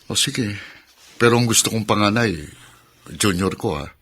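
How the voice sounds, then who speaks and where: An actor playing a teasing, boastful, doting young husband in a radio drama, coaxing playfully in a raspy voice. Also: male voice, on the low side, normal speed, a bit bright.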